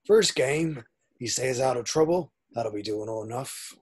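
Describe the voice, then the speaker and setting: A man plays a large, gruff gamekeeper in a script read. The voice is husky and rough. He comes across as blunt but kindly. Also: medium pitch, lively intonation, measured pace. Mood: gruff concern. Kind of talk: screenplay table read.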